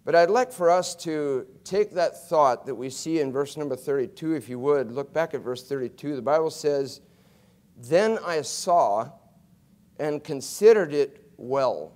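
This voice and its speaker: A middle-aged man delivers a sermon from a pulpit on a college chapel stage, picked up by a pulpit mic. His voice sits a little high for a man, and he swings from soft, low stretches into loud, emphatic runs. He often stammers and restarts his phrases. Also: lively intonation, measured pace. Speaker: guest preacher. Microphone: pulpit mic, wide fixed camera.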